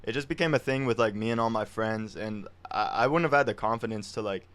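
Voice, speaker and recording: A man's voice: on the low side, quick pace, a bit bright. A young man, a musician, chatting casually in an interview with a laid-back, slangy way of talking. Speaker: musician guest.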